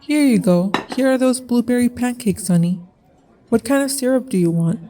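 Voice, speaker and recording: An actress playing a friendly, attentive diner server with a homely, accommodating manner in an audio drama. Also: female voice, a little high, animated, moderate pace, dark tone, recorded from a distance, slight background noise, lightly acted. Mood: friendly, helpful.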